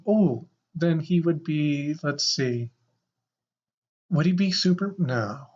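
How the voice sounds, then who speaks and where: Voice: medium pitch, slow pace, darkish tone, dull audio. A podcast host improvising a comedy audio adventure in casual banter, with a rambling, playful way of talking. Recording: noisy background.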